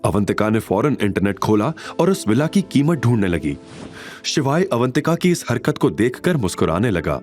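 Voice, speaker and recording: A man's voice: medium pitch, very animated, moderate pace, darkish tone. A man narrating a scripted audio drama in a dramatic storytelling style. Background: background music.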